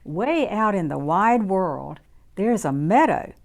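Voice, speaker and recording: Female children's storytime reader. Unhurried, expressive, sing-song delivery with plenty of pitch movement. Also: creaky. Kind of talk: read-aloud storytime for young children.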